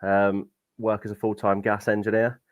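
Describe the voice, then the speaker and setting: Low-voiced British man in his thirties. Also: level tone, moderate pace, no room sound. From United Kingdom. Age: 30s. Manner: laid-back and dry, with understated humor. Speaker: podcast co-host. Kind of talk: casual chat.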